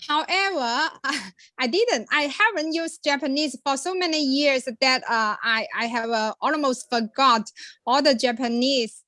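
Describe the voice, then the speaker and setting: A woman from China, a native Chinese speaker, talking in English in a casual group chat, halting and searching for words with many fillers. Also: sits high, sharp tone, no echo. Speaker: participant.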